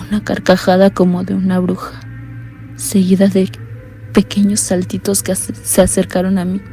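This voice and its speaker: A woman narrating a horror radio drama in a hushed, fearful first-person telling. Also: mid-range voice, monotone, moderate pace, soft background music.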